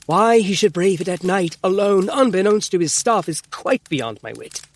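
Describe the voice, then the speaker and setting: An earnest Victorian gentleman doctor with a fairly high voice. He is excitable and formal, leaning hard on his words like a dramatic storyteller in an audio drama. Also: moderate pace. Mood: troubled, insistent. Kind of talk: full-cast audio drama acting.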